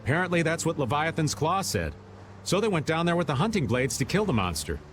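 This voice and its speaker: A man's voice: on the high side, very animated, fairly quick, a little hiss or hum. A man playing a roguish, easygoing middle-aged rascal in a video game, playful and world-wise. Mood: world-weary. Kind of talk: video game dub acting.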